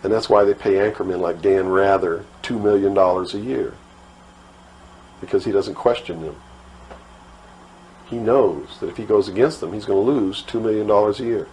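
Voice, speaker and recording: An American man lectures with conviction in a low voice. He leans on rhetorical questions and repeats words for emphasis. There is faint noise on the recording. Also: moderate pace, pauses often, darkish tone. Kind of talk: impassioned lecture. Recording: noisy old talk recording.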